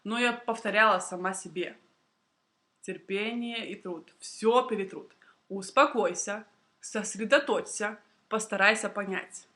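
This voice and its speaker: Young woman, native Russian speaker, bright forceful voice, deliberate phrasing, expressive, prepared vlog storytelling for learners. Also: medium pitch. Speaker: Russian language teacher and video blogger.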